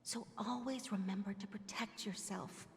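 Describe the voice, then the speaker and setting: A woman playing a gentle, devout, protective older sister in a video game. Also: medium pitch, fairly even intonation, moderate pace, gravelly, a little hiss or hum, lightly acted. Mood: tender, earnest. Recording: long reverb, loud music behind.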